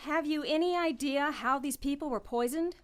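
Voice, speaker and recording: A woman's voice: high voice, moderate pace, natural voice. A woman playing a worried, loyal companion. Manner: earnest and worried. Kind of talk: radio drama acting.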